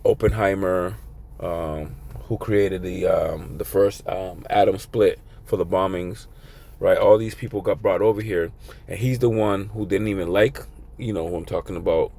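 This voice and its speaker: Man, very low, gravelly and hoarse, casual rambling sermon delivery. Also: fairly even intonation, moderate pace, warm tone. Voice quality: raspy. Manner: rambling, filler-heavy, conversational. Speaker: religious teacher. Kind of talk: informal video sermon.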